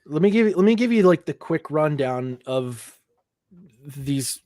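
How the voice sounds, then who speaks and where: A man's voice: on the high side, lively intonation, measured pace, a little mellow, dry recording, clean studio sound. A man co-hosting a casual nerd podcast, ranting with frequent swearing and filler words. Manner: profane, ranting, lots of fillers. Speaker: podcast co-host. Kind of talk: casual chat.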